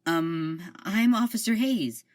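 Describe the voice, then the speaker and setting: A woman's voice: medium pitch, takes it slowly, natural voice. A woman playing a flustered young officer. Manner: hesitant, polite, flustered.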